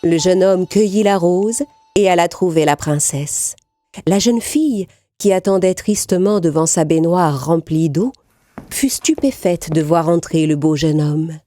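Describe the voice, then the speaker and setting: A woman narrating a scripted children's fairy tale in a clearly articulated storytelling manner. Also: fairly low pitch, measured pace, warm tone, soft background music.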